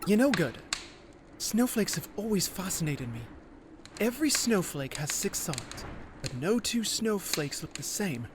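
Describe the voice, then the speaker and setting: A man's voice: sits high, very animated, a little slow, noisy background. A man playing an earnest, dreamy young boy in an audio drama.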